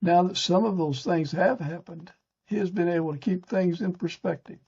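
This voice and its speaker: A man with a gravelly, husky voice hosting a podcast, mostly reading prepared narration with short conversational interjections. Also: high voice, normal speed, darkish tone, muffled recording. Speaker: podcast host.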